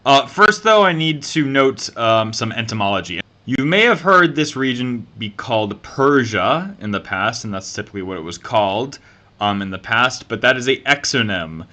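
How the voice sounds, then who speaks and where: Casting: young Southern US man, bright forward tone, relaxed YouTube explainer with lots of ums. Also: fairly low pitch. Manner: chatty, conversational, many ums and uhs. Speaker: YouTube channel host. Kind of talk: casual history commentary.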